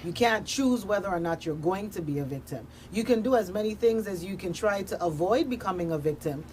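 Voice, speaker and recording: A woman's voice: medium pitch, animated, fairly quick, darkish tone, a little hiss or hum. A woman speaking as a victim advocate in a radio interview, explaining emphatically in parallel, listed phrases.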